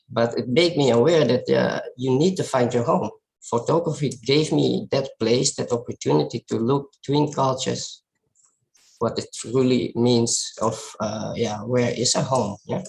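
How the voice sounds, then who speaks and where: Dutch-accented man with a creaky voice. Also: mid-range voice, low-quality recording. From Netherlands. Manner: halting, reflective, searching for words. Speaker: photographer giving a talk. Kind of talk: informal presentation of personal work.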